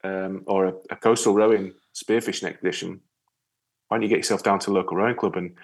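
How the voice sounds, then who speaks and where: A man from Yorkshire with a northern English accent, talking casually as an interview guest in a rambling, halting way with repeated words. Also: low voice, fairly even intonation, breathy edge. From Yorkshire, England. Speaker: interview guest, ocean rower and spearfisher. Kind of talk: casual conversational storytelling.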